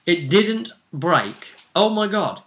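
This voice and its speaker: A man's voice: high-pitched, big pitch swings, takes it slowly, a bit bright. A man chatting casually as a game master, recapping a tabletop role-playing session and acting out bits of dialogue as he goes. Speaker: game master and video host.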